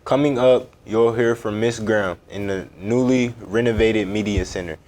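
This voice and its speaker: A man reading school announcements in a plain, steady way. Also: on the low side, normal speed.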